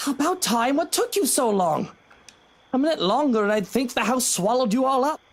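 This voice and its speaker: A snippy, impatient game character. The voice is high and the manner curt. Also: female voice, animated, moderate pace. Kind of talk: horror game voice acting.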